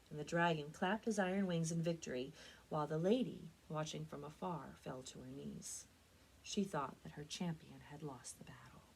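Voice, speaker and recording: A woman reads a story aloud expressively, with deliberate, dramatic phrasing and strong emphasis, like a librarian at story time. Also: fairly low pitch, poor audio. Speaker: librarian reading stories for a library program.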